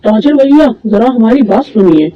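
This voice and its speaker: A man's voice: sits high, expressive, moderate pace. A man playing an indignant villager in a cartoon story, with an emphatic, complaining way of talking. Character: indignant male villager complaining about bread prices. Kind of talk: Urdu moral cartoon story dialogue. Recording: dull lo-fi sound, music bed.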